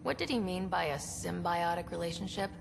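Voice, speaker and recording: A woman's voice: fairly low pitch, fairly quick. An actress playing a young female explorer in a video game, casual, wry and direct. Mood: curious, wry. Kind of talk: scripted video game dialogue. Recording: reverberant space, clear background noise.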